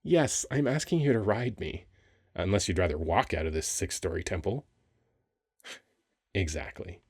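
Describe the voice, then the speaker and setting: A man playing a handsome, playful male sphinx guarding a temple in an ASMR roleplay, talking in a teasing, expressive, conversational way. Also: deep voice, normal speed, husky, no echo, studio quality. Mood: excited, playful. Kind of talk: ASMR roleplay audio acting.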